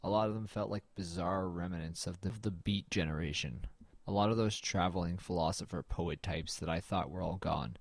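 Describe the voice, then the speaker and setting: A man's voice: sits low, normal speed, no echo. A man playing a brooding, melancholy artist who narrates an audio drama in a reflective, understated, slightly hesitant way. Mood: brooding, uneasy.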